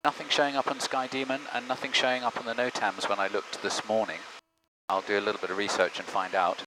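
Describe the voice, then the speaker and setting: A man speaking as a pilot on a headset, in a measured, polite, procedural way. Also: lowish voice, flat delivery. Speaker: pilot, flight vlogger. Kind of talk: radio calls and casual cockpit commentary. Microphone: aviation headset. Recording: noisy light aircraft cockpit. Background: engine drone of a light aircraft.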